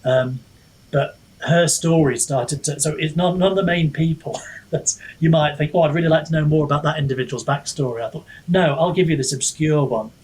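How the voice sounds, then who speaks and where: A man's voice: on the high side, steady tone, fairly quick, a little mellow, breathy edge, low-quality recording. A man chatting reflectively as an author being interviewed, hesitant and self-deprecating, often wandering mid-thought. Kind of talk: casual reflective podcast chat.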